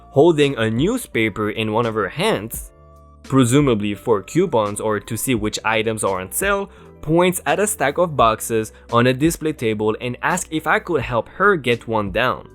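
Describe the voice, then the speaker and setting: A man narrating Reddit stories aloud in a lively, sing-song reading voice. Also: mid-range voice. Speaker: story narrator.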